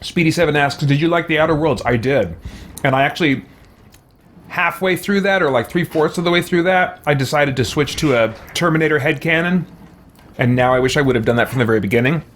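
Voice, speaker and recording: A man's voice: on the high side, fairly quick, a bit bright, a little hiss or hum. A man hosting a let's play, thinking aloud in casual commentary as he goes. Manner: thinks aloud, muses to himself. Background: game music.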